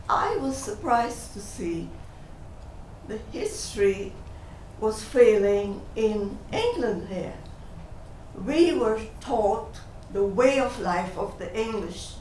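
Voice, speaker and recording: An older woman tells stories from her own life at a slow, unhurried pace, chuckling as she goes. She is heard from some distance off the mic in an echoey hall. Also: a little high, very animated, a little hiss or hum. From Guyana. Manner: unhurried, chuckles between remarks. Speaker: guest sharing personal memories. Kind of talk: conversational storytelling.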